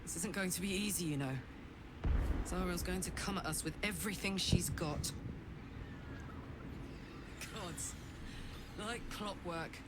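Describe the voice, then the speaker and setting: A woman's voice: a little slow, pauses often, close to an everyday voice. An actress playing a battle-hardened, wry and resolute female soldier in a dramatic game cutscene. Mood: wry resolve. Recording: very noisy game audio with rumbling.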